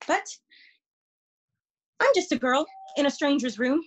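A young woman performing a dramatic monologue as an anxious, bewildered girl, pausing deliberately mid-sentence. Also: high voice, expressive, dull audio. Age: young adult. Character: anxious, bewildered girl alone in a stranger's room. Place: small room indoors at home. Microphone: webcam at arm's length.

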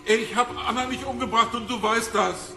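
A man's voice: very high voice, steady tone, moderate pace, creaky. An actor playing a desperate male captive in a crime radio play, urgently pleading and demanding. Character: desperate captive financier pleading for his life. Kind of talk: radio crime drama acting. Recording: echoey, water noise behind.

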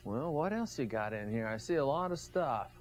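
A middle-aged man hosting a TV show, chatting casually and asking quick, upbeat questions while walking through a large cluttered garage workshop. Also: medium pitch, lively intonation. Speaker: TV show host. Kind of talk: casual walk-through interview.

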